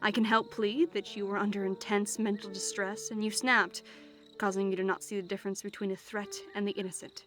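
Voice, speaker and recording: A woman's voice: mid-range voice, level tone, fairly quick, over music, natural voice. An actress playing a tough, blunt female knight in a fantasy audio drama. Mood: measured, persuasive.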